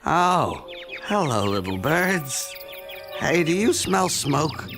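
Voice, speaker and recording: An actor playing a crazed, smoke-obsessed villain beekeeper in an animated video game, drawling theatrically with gleeful menace. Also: male voice, fairly high pitch, slow, over music, heavily acted. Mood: sly menace. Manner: theatrical, drawling, self-amused.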